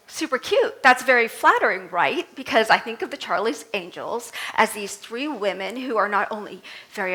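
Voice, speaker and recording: Lively Vietnamese-born woman reverend. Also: on the high side. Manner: animated storytelling with self-deprecating humor. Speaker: reverend giving a talk. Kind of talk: conversational sermon. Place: temple service.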